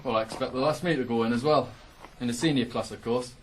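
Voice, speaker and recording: Cheeky bantering farmhand for a radio play male actor, middling pitch, faint noise on the broadcast. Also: quick pace, close to an everyday voice, low-quality recording. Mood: teasing. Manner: teasing, bantering. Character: cheeky, teasing farm workmate, male. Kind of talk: 1980s radio drama acting.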